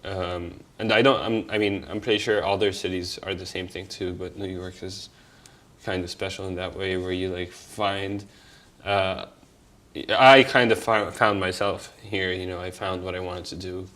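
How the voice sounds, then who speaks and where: Halting young man from Egypt. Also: deep voice, fairly bright tone. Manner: halting, many fillers and restarts; candid, offhand swearing. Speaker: interviewee telling his life story. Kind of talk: casual personal storytelling.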